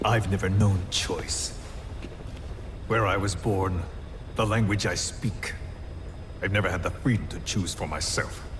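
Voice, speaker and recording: An actor playing a cold, scheming male commander who interrogates slowly and menacingly in a low voice. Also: fairly even intonation. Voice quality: low, slow, gravelly menace. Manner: measured, brooding, interrogating. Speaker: villain interrogator. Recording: game cutscene with background noise.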